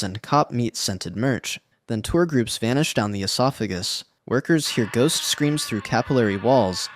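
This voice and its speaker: Male narrator, mid pitch, wry deadpan read, dry booth sound. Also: no room sound. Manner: slangy, wry deadpan delivery. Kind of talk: scripted internet narration.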